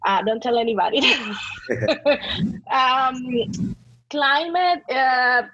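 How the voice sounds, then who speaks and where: A woman's voice: sits high, expressive, extremely slow, sharp tone. A woman from the Dominican Republic, a native Spanish speaker, answering conversationally as an interview guest. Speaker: podcast guest, real estate broker. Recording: dry, dull lo-fi audio.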